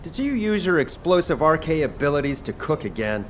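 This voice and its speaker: Casting: joking young chef-soldier for a game, casual and teasing, very animated delivery. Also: male voice, fairly high pitch, a little fast, slight background noise. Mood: casual, friendly. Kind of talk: video game voice acting.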